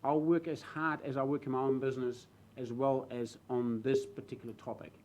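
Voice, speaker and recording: A man from the Netherlands with a Dutch accent, a new councillor speaking earnestly in a council chamber, halting with fillers and restarts. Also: mid-range voice, little pitch movement, a little mellow, a little hiss or hum. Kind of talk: speech in a council debate. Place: council chamber with public gallery.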